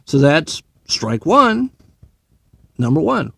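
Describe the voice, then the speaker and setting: A man's voice: on the high side, very animated, slow pace, gravelly, sharp tone, breathy edge, no room sound. A man, a military veteran, delivering a profane, sarcastic and heated opinion monologue.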